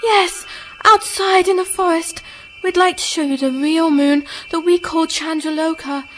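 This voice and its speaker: An actress playing a serene, alluring otherworldly moon woman in a radio drama, gentle, coaxing and unhurried in manner. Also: very high-pitched, steady tone, rough recording. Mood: gently coaxing. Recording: music behind the voice.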